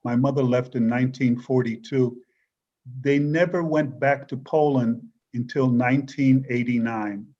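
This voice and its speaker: A man's voice: medium pitch, steady tone, measured pace, mellow. An older man speaking earnestly as a panelist on a video call. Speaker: panelist author. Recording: dull lo-fi video call audio.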